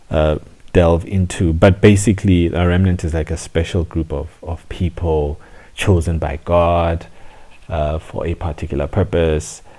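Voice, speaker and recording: A man in his thirties talks through a solo podcast introduction. His voice sits very low and sounds mellow and warm. He is reflective and unhurried, often restarting phrases and pausing as he finds his words. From South Africa. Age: 30s. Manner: reflective, with frequent restarts and hesitations. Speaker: podcast host.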